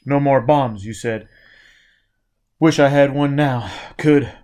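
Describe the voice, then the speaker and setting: A man voicing a gruff, bossy male escaped convict in an audiobook, with terse, clipped, forceful speech. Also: medium pitch, slow pace, natural voice. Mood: gruff, urgent.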